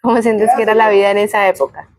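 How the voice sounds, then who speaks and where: A woman's voice: mid-range voice, steady tone, quick pace, a little mellow. A woman asking informal prepared interview questions, hesitant and rephrasing as she goes.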